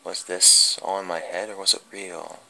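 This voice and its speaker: A man's voice: low voice, little pitch movement, normal speed, vocal fry, sharp tone, exaggerated voice, low-quality recording. A man playing a melancholy, tormented dead puppeteer in a creepy horror story reading, with brooding theatrical delivery. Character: creepy undead puppeteer, melancholy tormented young man's ghost. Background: music.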